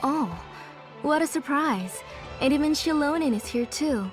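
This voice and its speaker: Gentle weary auntie in a video game, higher voice, light music under it. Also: female voice, animated, a little slow. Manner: gentle, hospitable. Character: gentle, weary motherly aunt, retired craftswoman. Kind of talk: video game character acting.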